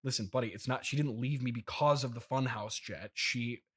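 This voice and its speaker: A man's voice: mid-range voice, fairly bright tone, breathy edge. A man playing an exasperated, defensive rich CEO father who stammers and repeats himself in an improvised comedy interview. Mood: sheepish. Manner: halting, flustered self-justifying, repeats himself.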